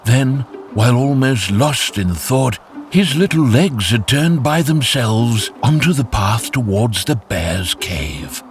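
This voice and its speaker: A man narrating a scripted children's fairytale in an expressive, animated storytelling way. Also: mid-range voice, takes it slowly.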